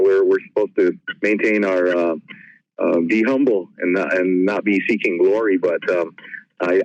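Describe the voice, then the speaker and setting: A man giving informal remarks as a panelist, halting and often restarting his words. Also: low-pitched, darkish tone, dry recording. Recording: dull telephone-like call audio.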